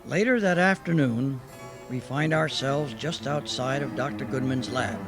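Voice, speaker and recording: A man's voice: mid-range voice, unhurried, music in the background. A man delivering scripted narration for a Golden Age style radio drama.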